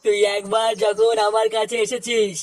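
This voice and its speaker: A man's voice: high voice, level tone, normal speed, lightly acted. A man voicing a sly, pompous holy man, grandly handing out blessings in a comedy cartoon. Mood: solemn, reassuring.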